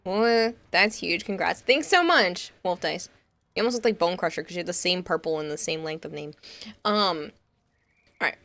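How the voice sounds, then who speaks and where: A woman's voice: on the low side, lively intonation, normal speed, a bit bright. A young woman from Massachusetts with a Boston accent, chatting casually to viewers on a live stream. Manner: chatty, reassuring, reading chat aloud.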